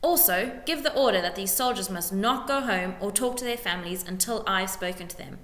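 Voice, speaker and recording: A woman's voice: medium pitch, a little fast, a bit bright, close to an everyday voice. A woman voicing a scheming, bullying male lord in a storybook reading, with snarled orders and oily false sympathy. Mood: snarling, impatient. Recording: echoey room with long reverb.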